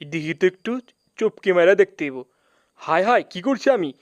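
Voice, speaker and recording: A man's voice: high voice, expressive, normal speed, no room sound, highs cut off. A man voicing a cheeky, naive young cartoon mouse nephew with a colloquial Bangladeshi accent and a playful, teasing way of talking. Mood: worried. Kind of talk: comic cartoon dub.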